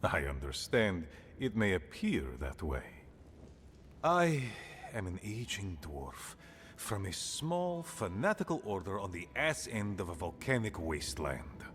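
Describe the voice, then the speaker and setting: An actor playing an aging, pragmatic dwarf leader in a fantasy game, speaking in a grave, measured, dryly blunt way. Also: male voice, on the low side, very animated, a bit bright, slight background noise. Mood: blunt, weary. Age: older. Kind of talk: fantasy video game dialogue.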